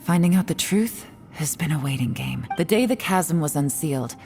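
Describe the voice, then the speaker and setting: Game investigator, adult woman: low register, solemn, resolute, measured, faint score beneath. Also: expressive, a little mellow, faint music behind. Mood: grave, confiding. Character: grave, determined adult female investigator with a secret past. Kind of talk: video game voice acting.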